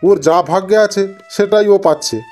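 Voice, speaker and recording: A man's voice: high voice, moderate pace, a little mellow, faint music behind, lightly acted. A man voicing a blunt village man in a Bengali cartoon story. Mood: irritated. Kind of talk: cartoon voice acting.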